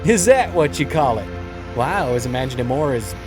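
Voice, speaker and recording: A man's voice: mid-range voice, expressive, fairly quick, over music, lightly acted. A man playing a smug, defiant oil refinery boss in an audio drama, sardonic and taunting. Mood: mocking.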